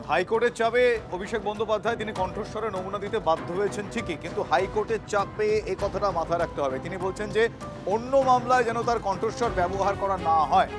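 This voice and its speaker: Fast, high-voiced male news anchor. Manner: emphatic, repeats key phrases. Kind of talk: live news commentary. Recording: music bed behind voice. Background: news music.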